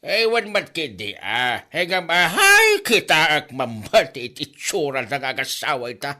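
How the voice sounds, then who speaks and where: A man's voice: on the high side, huge pitch swings, a little slow, pushed and bright, heavily acted. A man with an Ilocano accent playing a gossipy, exclamatory village neighbour in a comedy drama.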